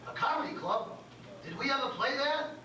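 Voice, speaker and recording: Heard from far off in an echoing club, a man plays a grumpy ghost of an old comic. He is reluctant and querulous, questioning everything in a high voice. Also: expressive, fairly quick, sharp tone, a little hiss or hum, exaggerated voice. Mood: grumbling, querulous. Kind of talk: staged reading of a comedy script. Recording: echoey club stage, distant mic.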